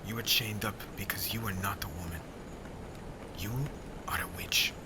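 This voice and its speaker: A man playing a stern, brooding witch hunter in an ASMR fantasy roleplay, menacing and deliberate, often dropping into breathy half-whispers. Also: low voice, steady tone, noisy background, close to an everyday voice. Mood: stern, guarded. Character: stern, brooding young male witch hunter, captor aboard ship. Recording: loud rain, thunder and sea ambience.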